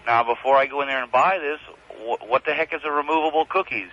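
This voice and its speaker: Puzzled male caller, bright voice. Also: medium pitch, fast talker. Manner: puzzled, folksy. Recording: dull radio call.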